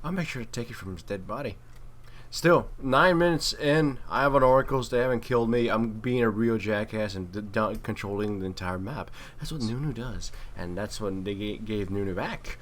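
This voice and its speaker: A man's voice: lowish voice, normal speed. A man giving casual, wry game commentary with some mild swearing and trash talk. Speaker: gaming video commentator and player. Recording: clearly noisy home recording.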